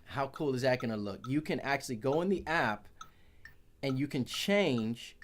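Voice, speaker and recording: Man, drummer, casual gadget review, chatty, self-correcting, mid-pitched voice, talking in an office. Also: expressive, moderate pace. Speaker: percussionist reviewing a metronome. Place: his office.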